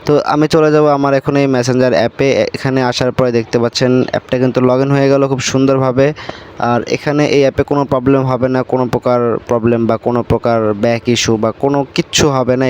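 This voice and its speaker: A man's voice: mid-range voice, little pitch movement, fast, a little mellow, slight background noise. A man presenting a casual step-by-step screen tutorial, repeating his instructions as he goes. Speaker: YouTube tutorial host.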